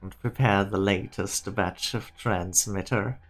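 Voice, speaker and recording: Casting: man playing cool corporate schemer for a game fan dub, slow, measured, formal delivery. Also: lowish voice, fairly even intonation, soft background music. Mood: businesslike, cool. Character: cool, calculating male corporate director plotting weapons technology. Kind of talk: amateur fan dub game drama acting.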